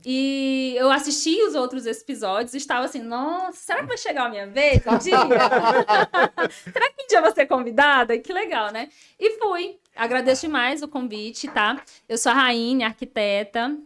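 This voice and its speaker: A woman's voice: high-pitched, animated, normal speed, a bit bright. A woman in her thirties from Ceará, Brazil, a guest architect telling her life story in casual, chatty interview talk with frequent tag questions. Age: 30s.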